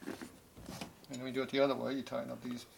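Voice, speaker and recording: Fast-talking gravelly shop mechanic. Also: male voice, medium pitch, completely flat delivery, heavy background noise. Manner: explains while working, blunt and offhand. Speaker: machinist and mechanic. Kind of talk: hands-on shop demonstration. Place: machine shop workbench. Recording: shop clatter of tools behind.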